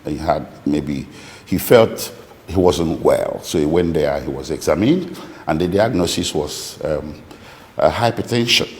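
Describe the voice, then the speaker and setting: A man with a Nigerian accent answering interview questions as a lawyer, speaking deliberately and haltingly with precise detail. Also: very deep voice, a little mellow. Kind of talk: interview answers recounting a client's account. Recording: echoey room with long reverb.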